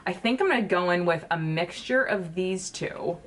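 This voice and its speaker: A woman's voice: fairly low pitch, animated, normal speed, fairly bright tone. A woman beauty vlogger chatting casually while she does her makeup, swearing often and gushing about products.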